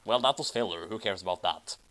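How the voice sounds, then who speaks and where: A man's voice: deep voice, fairly quick, a bit bright, rough recording. A man reading fan fiction aloud and commenting on it in a casual, comedic way.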